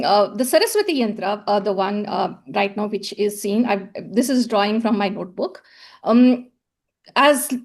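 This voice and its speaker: Woman presenter, fairly high pitch, hesitant and repetitive, lecture tone. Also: a little mellow. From South India. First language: Tamil. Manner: hesitant, many fillers and repeated words. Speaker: conference presenter. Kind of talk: academic presentation with slides.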